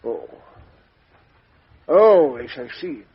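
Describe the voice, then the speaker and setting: An actor playing a self-assured, fussy, courteous male detective with a French accent and precise, halting delivery in a radio mystery drama. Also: fairly high pitch, lively intonation, slight background noise. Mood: curious, probing. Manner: precise, smug, hesitant pauses and repetitions. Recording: dull lo-fi radio drama recording.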